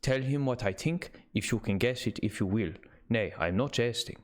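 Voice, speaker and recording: A man voicing an elderly, earnest Dutch doctor with an exaggerated German-like accent, halting with many "uh" fillers, in an amateur audiobook reading. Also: mid-range voice, natural voice. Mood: grave. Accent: put-on exaggerated German-like.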